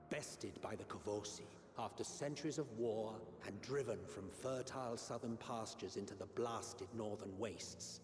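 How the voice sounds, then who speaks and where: An actor playing a solemn chronicler, narrating a bleak history in a measured, literary, dramatic manner. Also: male voice, lowish voice, natural voice. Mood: solemn, brooding. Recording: echoey hall reverb, music behind.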